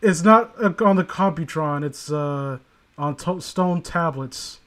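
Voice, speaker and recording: A man with a distinctly high-pitched voice reads a story aloud for laughs. He hosts the read-along and keeps breaking off into irreverent, mocking asides. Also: a little slow, a bit bright. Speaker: host reading a creepypasta aloud with friends. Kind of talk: comedic read-along with improvised riffing.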